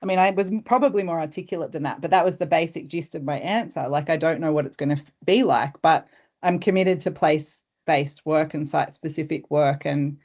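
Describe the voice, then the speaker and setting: A woman academic with a lowish voice answers questions thoughtfully, pausing and restarting often. Her audio comes through a video call and sounds dull and muffled. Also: a little mellow. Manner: thoughtful and hesitant, many fillers and restarts. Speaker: guest speaker, academic. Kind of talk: webinar discussion.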